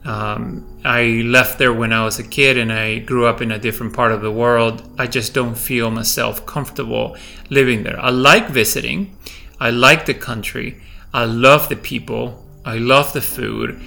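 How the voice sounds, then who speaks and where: A man's voice: mid-range voice, steady tone, normal speed, vocal fry, sharp tone, soft background music. A middle-aged man from Peru with a slight Peruvian Spanish accent, Spanish his first language, hosting a podcast and reflecting personally in a hesitant way, with many fillers and restarts. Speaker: podcast host.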